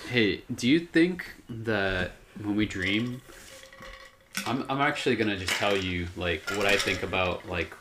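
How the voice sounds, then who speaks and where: Woman with a very low voice, noisy recording. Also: animated, unhurried. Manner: laid-back, rambling. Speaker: podcast guest. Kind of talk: casual chat.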